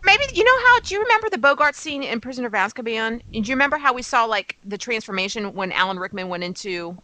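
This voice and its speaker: Bright-voiced, enthusiastic woman presenting podcast news. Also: on the high side, very animated, fast, rough recording. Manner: gushing, enthusiastic. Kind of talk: casual fan news chat.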